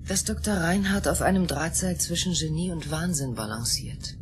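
A woman's voice: low-pitched, moderate pace, close to an everyday voice. Actress as serious woman space scientist, worried, urgent, radio play, faint background noise. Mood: wary.

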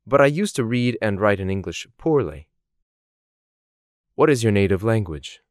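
A man reading scripted English course dialogues in a slow, clearly articulated, measured way. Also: lowish voice, expressive, no room sound, clean studio sound. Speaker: language course voice actor.

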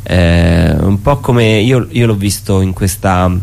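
A man's voice: low-pitched, takes it slowly, vocal fry, darkish tone. A man reflecting casually in an interview, musing hesitantly and repeating his phrases as he goes. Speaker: interviewed theatre performer.

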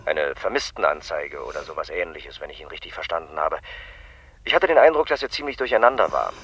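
An actor playing a wry, courteous detective agency boss in a radio drama, teasing and dryly polite, heard through a telephone filter. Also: male voice, very deep voice, expressive, brisk, fairly bright tone, slightly rough, dry recording, heavily acted. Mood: businesslike. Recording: muffled band-limited phone line.

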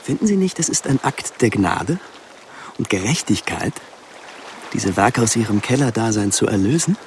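An actor playing a smug, gloating upper-class villain in a radio drama, with theatrical, mocking politeness. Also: male voice, fairly low pitch, lively intonation, moderate pace, background noise. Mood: smug, savoring. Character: gloating, smug aristocratic villain holding captives at gunpoint.

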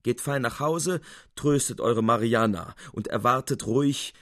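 A self-assured schemer and loyal friend read by a man in a storybook. He speaks encouragingly at a medium pitch, in a dry recording. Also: measured pace, fairly bright tone, no echo, natural voice. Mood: reassuring. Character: confident, scheming male friend, cheerful and reassuring.

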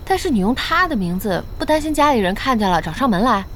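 An actress playing a friendly young woman shop owner who smooths things over in a Chinese audio drama. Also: on the high side, fast, slight background noise. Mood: curious. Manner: lively, conciliatory.

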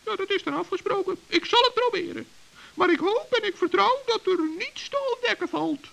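A man playing a small, earnest, trusting forest gnome with a squeaky, creaky voice in a children's radio play. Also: animated, brisk, fairly bright tone, heavily acted. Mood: willing, trusting. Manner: earnest, naive, polite.

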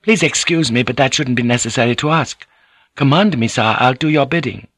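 A man's voice: medium pitch, a little fast, fairly bright tone, no room sound, clean studio sound, pitched above the performer's own voice, lightly acted. A man voicing a young noblewoman who speaks politely, earnestly and deferentially. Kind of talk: audiobook character voice.

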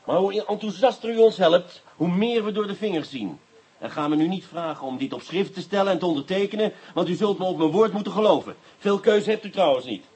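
Sly, sardonic inspector with swooping intonation. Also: male voice, high-pitched, animated, fairly quick, a little mellow, husky. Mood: pressing, ironic. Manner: sardonic, mock-polite, insinuating. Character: sly, sardonic male police inspector, coolly coaxing. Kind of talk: Dutch radio play acting.

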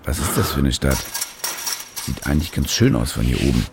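An actor playing a panicky, cynical war reporter in a radio drama, muttering to himself and swearing in agitation. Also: male voice, rumbling low, expressive, fairly quick, vocal fry, background noise, heavily acted. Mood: rattled self-talk. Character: panicky, cynical male war reporter, rattled and foul-mouthed. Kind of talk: radio drama acting with inner monologue.

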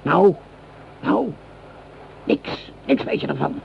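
An actor playing a cynical, hot-tempered French common soldier in a Dutch radio play, coarse and sarcastic in manner. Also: male voice, sits high, very animated, slow, vocal fry, full character voice. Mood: bitter, heated. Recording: dull, noisy old radio play recording.

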